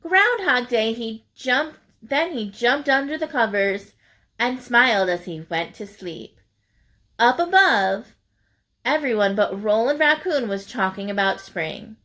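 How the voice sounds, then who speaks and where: Slow, lively woman reading to children. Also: fairly high pitch. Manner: sing-song, explains to young listeners. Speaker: teacher reading aloud to children. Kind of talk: picture-book read-aloud.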